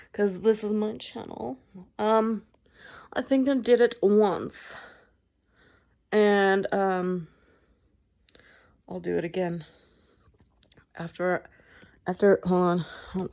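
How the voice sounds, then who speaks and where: A woman's voice: mid-range voice, darkish tone. A woman vlogging at home in a casual, rambling chat, swearing freely and mocking herself. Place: indoors at home.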